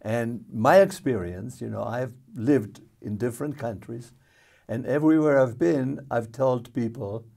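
An older man from Jerusalem, a guest in a TV studio interview, speaking slowly with many pauses and restarts into a lapel mic. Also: mid-range voice, vocal fry, warm tone. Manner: slow, halting, with many fillers and restarts.

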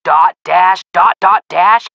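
An actor playing an upbeat, teasing young American amateur detective in a video game, with a playful, joking, enthusiastic manner. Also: male voice, sits high, takes it slowly, gravelly, pushed and bright, dry recording, muffled recording, full character voice. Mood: excited.

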